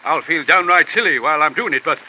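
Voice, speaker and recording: An actor playing a blustering older colonel and horse owner, pompous and old-fashioned, in a vintage radio drama on a dull old recording. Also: male voice, on the high side, lively intonation, fast, bright, forceful tone, slight background noise. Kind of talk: 1950s radio drama acting.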